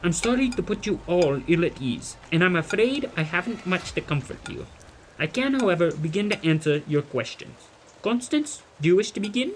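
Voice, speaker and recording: A man playing a kindly, learned, wordy gentleman who explains things formally in an audio drama. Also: high voice, sing-song, moderate pace, a little hiss or hum, poor audio. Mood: patient, reassuring. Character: kindly, learned, verbose gentleman leading a group of children. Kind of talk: audio drama dialogue and narration.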